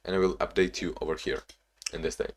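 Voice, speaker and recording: A young man talking in a casual, reflective diary-style vlog, halting with frequent restarts and sounding a bit sleepy. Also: low-pitched, fairly even intonation. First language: not English; Portuguese not first language either. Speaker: vlogger.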